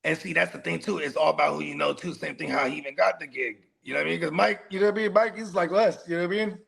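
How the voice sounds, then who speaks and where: A man's voice: a little high, racing, gravelly, a bit bright, husky. A man co-hosting a casual podcast, rambling with heavy slang, fillers and frequent laughter. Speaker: podcast co-host.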